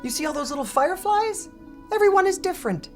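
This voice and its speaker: A tender old grandmother with a soft, coaxing voice that rises high and light, as a woman reads her aloud. She sounds loving and wise, like a storybook grandma at twilight, with soft music faint behind. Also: animated, fast talker.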